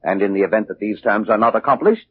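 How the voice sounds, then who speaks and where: An actor playing a formal, firm lawyer in a 1940s radio thriller. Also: male voice, on the low side, fast, husky. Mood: solemn, formal. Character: formal, firm male lawyer reading a will. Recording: muffled, narrowband 1940s radio recording.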